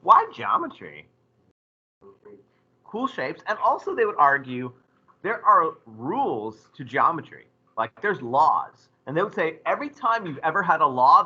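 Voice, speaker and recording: A man teaching a history class, lecturing in a lively way and putting questions to his students. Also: a little high, breathy edge, dull audio. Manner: animated, asks the class questions.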